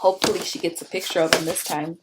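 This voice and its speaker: A woman's voice: on the low side, little pitch movement, quick pace, very noisy. A woman reading a children's picture book aloud expressively, turning to young listeners with questions and asides. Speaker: read-aloud storyteller.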